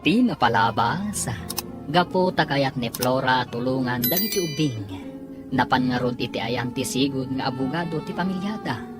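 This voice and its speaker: A woman with a low voice announces a radio serial over prominent music. Her delivery is scripted and dramatic, with big swings in pitch. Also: expressive, moderate pace. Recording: broadcast narration over loud music.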